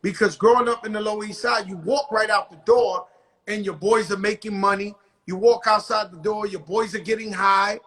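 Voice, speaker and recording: A man's voice: sits high, fairly even intonation, normal speed, a bit bright. A man from the South Bronx, hosting a casual interview in blunt street slang. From South Bronx, New York. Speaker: host.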